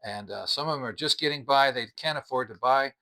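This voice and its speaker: Bright-voiced man giving a product review. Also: medium pitch, brisk. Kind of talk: casual explanatory product review.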